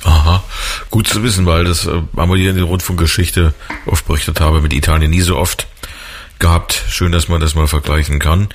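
A man's voice: sits low, steady tone, brisk. A man hosting a radio interview, chatting loosely with many fillers. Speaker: radio host.

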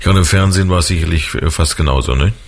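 Low-voiced chatty male radio host. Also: racing, gravelly, slightly rough. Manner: chatty, with many fillers. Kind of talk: radio interview.

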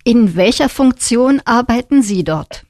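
A woman's voice: a little high, expressive, unhurried, a little mellow, dry recording, clean studio sound. A woman working as a radio interviewer, putting prepared questions to a guest.

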